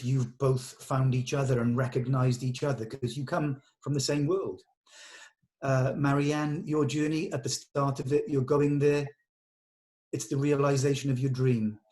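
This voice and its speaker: A British man with a medium-pitched voice talks like a director to his cast. His delivery is informal, earnest and emphatic, with blunt, colloquial phrasing. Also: a little mellow. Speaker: director leading a table read. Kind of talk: informal character briefing to actors.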